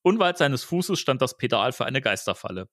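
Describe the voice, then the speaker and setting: Animated German man, dry close sound. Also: mid-range voice, moderate pace, dry recording. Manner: joking asides, dramatic emphasis while reading. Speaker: podcast co-host reading a film novel aloud. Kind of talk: playful story reading with casual banter.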